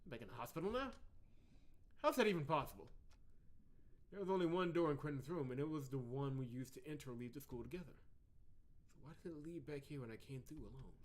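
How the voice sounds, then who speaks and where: A man's voice: medium pitch, lively intonation, quick pace, pauses often, close to an everyday voice. Man reading as earnest puzzled game protagonist. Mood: puzzled, reasoning. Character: puzzled, reassuring young visual novel protagonist. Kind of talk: reading visual novel dialogue and inner monologue.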